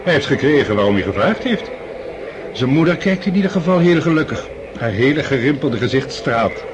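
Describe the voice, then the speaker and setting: A man's voice: medium pitch, very animated, brisk, background noise, lightly acted. A man acting a genial, chatty count and doting father in a Dutch radio drama, jovial and full of exclamations. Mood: gossipy, amused. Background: music.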